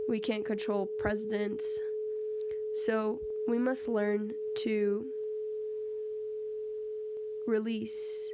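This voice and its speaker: A woman leading a guided meditation in a gentle, measured, instructional way with pauses. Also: mid-range voice. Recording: steady humming tuning-fork tone behind voice. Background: crystal tuning fork tone, hum.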